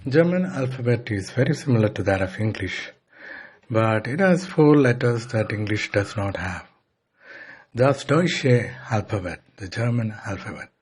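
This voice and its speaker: A man giving an instructional language lesson as a teacher, deliberately sounding things out piece by piece. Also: fairly low pitch, expressive, darkish tone, breathy edge. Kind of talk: slow instructional lesson with repetition.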